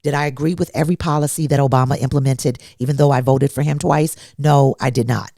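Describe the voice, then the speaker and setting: A woman's voice: a bass voice, fairly even intonation, quick pace, dark tone, no room sound, clean studio sound. A woman hosting a solo talk podcast, emphatic and blunt, asking rhetorical questions, a little congested. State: congested from allergies. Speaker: podcast host.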